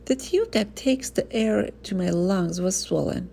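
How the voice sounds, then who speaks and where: A woman reads a children's storybook aloud with lively, swooping intonation. Her mid-pitched voice often drops into a creaky fry. There is a light but noticeable noise in the recording behind her expressive narration. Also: unhurried.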